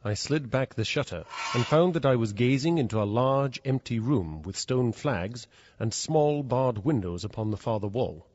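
An actor playing a polite gentleman narrator in a radio drama, speaking in a formal, literary, measured way. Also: mid-range voice, lively intonation, a little hiss or hum, natural voice. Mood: measured, awed narration. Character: polite gentleman narrator, an awed visiting cousin. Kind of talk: dramatised first-person storytelling for radio. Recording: dull radio recording over music.

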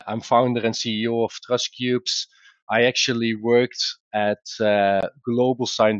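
A man's voice: fairly low pitch, no room sound, muffled recording. Belgian man presenting a webinar. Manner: explanatory, conversational, with frequent "uh" and restarts. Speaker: company founder presenting a webinar.

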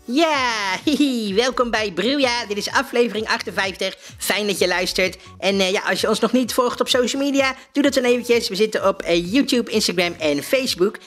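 A man playing an excitable, easily flustered male podcast host in a comedy show, giggling, exclaiming and rambling hesitantly. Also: sits high, normal speed, few pauses, soft background music. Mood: cheerful, giggly. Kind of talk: comic mock podcast presenting.

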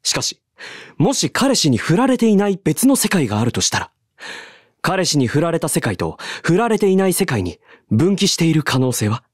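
An actor playing a calm, deadpan male bartender who cracks absurd jokes and explains theories at length, in a voice drama. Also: a little high, normal speed, husky, dry recording, studio quality. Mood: dry. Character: calm deadpan male bar master, joking.

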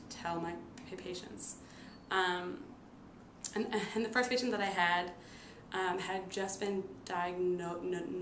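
Young woman with a pronounced stutter. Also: on the low side. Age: 20s. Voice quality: pronounced stutter with repeated sounds and blocks. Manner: halting, with frequent fillers. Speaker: nursing student and stuttering therapy client. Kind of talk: casual personal storytelling to camera. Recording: roomy echo with noticeable background noise.

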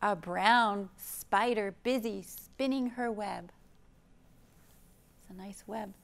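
A woman in her fifties hosting a children's storytime, speaking gently and expressively in simple phrases, with questions for young listeners. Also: mid-range voice, slow pace, creaky. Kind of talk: read-aloud storytime for young children, with chat and song. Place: indoor library program room.

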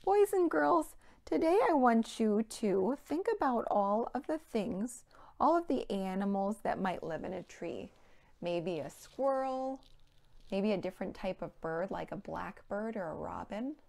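An American woman in her thirties presenting a children's storytime, speaking clearly and animatedly in simple phrases to young children. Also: mid-range voice, lively intonation, measured pace, a little mellow. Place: indoor children's play room.

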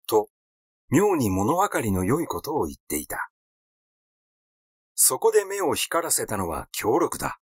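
Man reading a story, lively intonation. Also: mid-range voice, a little slow, long pauses, breathy edge, no room sound, studio quality. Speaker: audiobook narrator. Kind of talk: literary story reading, scripted narration.

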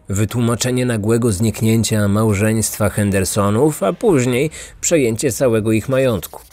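A man narrating a scripted true-crime story as a podcast host, in a measured storytelling manner. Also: medium pitch, a little mellow, no room sound.

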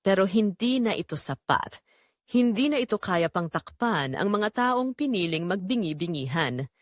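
A woman narrating a scripted dramatic story in a solemn, deliberate, emotive way. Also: mid-range voice, very animated, no room sound, studio quality.

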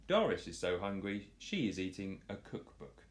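Very animated man reading a children's story. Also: low voice, normal speed. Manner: animated, playful delivery. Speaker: children's storytime host reading a picture book. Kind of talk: expressive read-aloud storytelling for children. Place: small living room, on a sofa indoors. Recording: roomy home echo.

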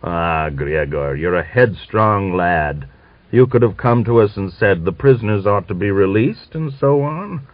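A man's voice: lowish voice, lively intonation, unhurried, dry recording. A man voicing a blustering but genial Cossack staff officer in an audiobook, exclaiming and cajoling. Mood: genial scolding. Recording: dull lo-fi audiobook recording.

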